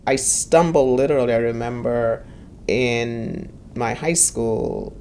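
Woman in her 50s, gravelly voice, emphatic storytelling, faint noise behind. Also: rumbling low, takes it slowly, a little mellow. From Guyana. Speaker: interviewee. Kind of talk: conversational storytelling.

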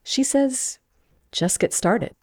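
A woman's voice: high voice, animated, slow pace, darkish tone. A woman reporter telling a story in a conversational podcast style.

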